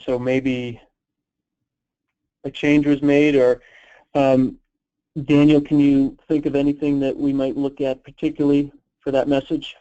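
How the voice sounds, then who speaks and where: A man presenting on a conference call, answering questions in an unscripted, halting, explanatory way. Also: medium pitch, dark tone. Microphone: telephone conference call. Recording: dull, lo-fi conference call line.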